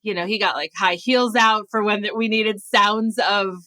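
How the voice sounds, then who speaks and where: A woman podcast host with a bright, forceful voice at a middle pitch. She introduces the show conversationally, recorded very dry. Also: steady tone, normal speed, dry recording.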